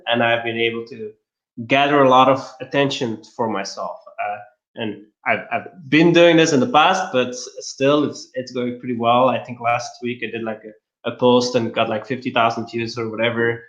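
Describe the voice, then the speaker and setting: A man's voice: medium pitch, fairly even intonation, normal speed, fairly bright tone. A man from Belgium, explaining things casually and step by step as an interview guest. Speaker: interview guest, LinkedIn marketer. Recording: roomy, slightly dull online-call audio.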